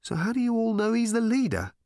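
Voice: mid-range voice, big pitch swings, fairly quick, mellow, dry recording, clean studio sound, voice pushed up. A storyteller voicing a flustered professional woman reporter who tries to stay composed while asking incredulous questions. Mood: incredulous, probing.

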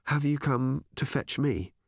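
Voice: medium pitch, very animated, normal speed, dry recording, studio quality, voice pushed up. A storyteller voicing a flustered professional woman reporter who tries to stay composed while asking incredulous questions. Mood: controlled panic.

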